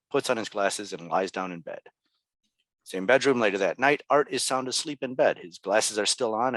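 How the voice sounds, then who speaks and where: A man's voice: on the low side, a bit bright, dry recording, rough recording. A man narrating stage directions at a table read in a plain scripted style.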